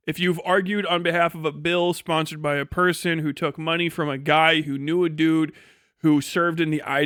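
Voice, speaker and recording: A man's voice: on the high side, fairly bright tone, no room sound, clean studio sound. A man giving casual livestream commentary in a profane, blunt, sardonic way. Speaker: livestream host.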